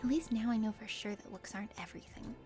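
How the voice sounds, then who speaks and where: A woman's voice: fairly high pitch, fast talker, warm tone, voice pushed down, natural voice. A woman playing a stubborn young female mountain guide in a game, exasperated and plain-spoken as she scolds. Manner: indignant, scolding. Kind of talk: dramatic game character reading. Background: music.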